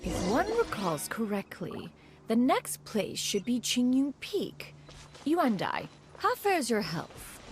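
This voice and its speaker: A woman's voice: fairly high pitch, sing-song, slow, a little hiss or hum. A woman voicing a composed immortal guide in a video game, speaking in formal, old-fashioned phrasing. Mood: calm. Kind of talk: video game cutscene dialogue. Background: game music.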